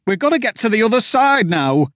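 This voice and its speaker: A man voicing a jumpy, panicky male farmer who shouts in frantic outbursts. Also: sing-song, a little fast, dry recording, studio quality. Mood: panicked. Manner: frantic, high-pitched outbursts.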